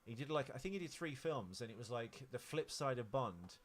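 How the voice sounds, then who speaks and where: A man's voice: medium pitch, lively intonation, brisk. A man co-hosting a podcast, chatting casually about films and celebrities in a rambling, sweary way. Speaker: podcast co-host.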